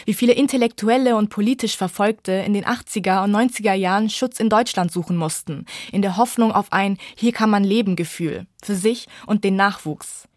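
A woman's voice: mid-range voice, moderate pace, hardly any pauses, a little mellow, dry recording, clean studio sound. A woman reporter reading a scripted radio book review feature.

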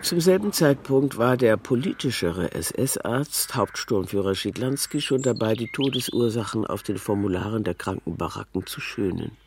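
A man's voice: lowish voice, animated, normal speed, hardly any pauses, slight background noise. A man narrating a scripted documentary text in a terse, factual, report-like manner.

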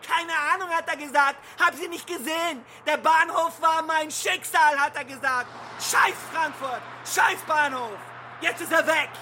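An actress playing an angry, foul-mouthed migrant in a loud, sharp, exasperated dramatic monologue. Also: female voice, high voice, a little slow, a little hiss or hum.

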